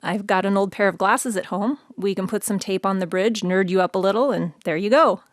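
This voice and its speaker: Friendly, sensible female radio host, played by an actress, radio play. Medium pitch, matter-of-fact, conversational, dry studio sound. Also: fairly quick, dry recording, close to an everyday voice. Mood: casual, friendly.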